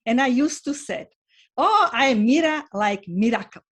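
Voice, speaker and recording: A woman from Slovakia with a Slovak accent, a non-native speaker, talking earnestly and haltingly as an interview guest. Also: on the high side, expressive, fairly bright tone. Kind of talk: online interview.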